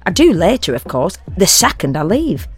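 A woman's voice: on the low side, big pitch swings, normal speed, slightly rough, dry recording. An actress playing a sardonic, self-deprecating woman in an intimate, confiding first-person audio drama monologue. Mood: wry, confiding. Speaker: solo performer reading a dramatic monologue. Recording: music under the voice.

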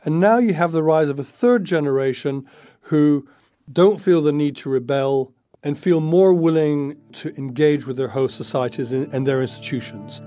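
A man's voice: a little high, moderate pace, dark tone, no echo. A man who works as a foreign affairs editor, explaining his analysis in an interview.

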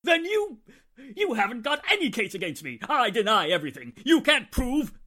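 A man's voice: extremely high pitch, big pitch swings, normal speed, sharp tone, heavily acted. A man playing a desperate, defiant murder suspect in melodramatic 1940s radio style, protesting in an agitated stammer. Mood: defiant, panicked. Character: desperate, defiant male murder suspect, self-pitying villain.

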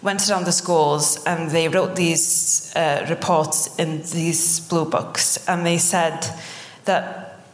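A woman's voice: deep voice, fairly even intonation, slow. A Welsh-accented woman, a native Welsh speaker, talking earnestly and personally as a guest on a live podcast, sometimes searching for words in English. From Wales. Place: indoor live venue stage. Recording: roomy live stage recording.

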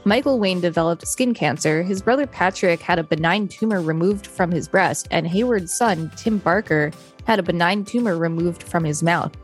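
A woman's voice: on the low side, moderate pace, few pauses, soft background music. A woman co-hosting a podcast, reading an article aloud with dry, skeptical remarks. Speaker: podcast co-host. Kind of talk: reading aloud and casual chat.

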